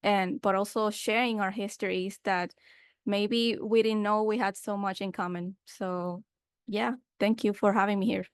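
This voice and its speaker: A young woman from Puerto Rico speaks earnestly on an online panel, stopping often on fillers. Her voice is smooth and clear. The sound is dry and close. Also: mid-range voice, fairly even intonation, unhurried, no echo. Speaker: panelist, freelance journalist.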